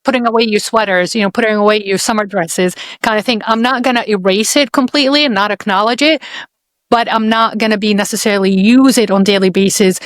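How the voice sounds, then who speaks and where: A woman's voice: mid-range voice, fairly even intonation, fast talker, no echo. Middle-aged woman, interview host. Earnest, reflective, mid-range voice, dry recording. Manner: earnest, reflective, repeats and restarts phrases. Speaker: podcast host. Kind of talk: casual interview conversation.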